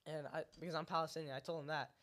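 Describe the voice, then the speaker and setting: A man's voice: a little high, little pitch movement, racing, fairly bright tone. A young man from New Jersey telling jokes and a short story in a casual chat, with a plain, brief delivery. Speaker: guest.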